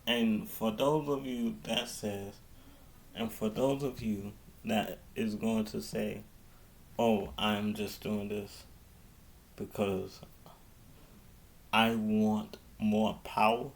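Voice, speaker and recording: Very slow, halting man giving an address. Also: lowish voice, steady tone, vocal fry. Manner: halting, repeats and restarts phrases. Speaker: speaker giving a mayor's public address. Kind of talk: improvised public address.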